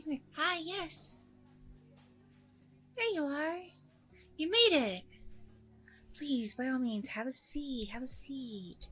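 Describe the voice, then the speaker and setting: A woman's voice: high-pitched, fairly bright tone. A woman playing a friendly, nervous single mom on a blind date in an ASMR roleplay, rambling casually in a bubbly way. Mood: excited, welcoming. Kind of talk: ASMR audio roleplay, conversational.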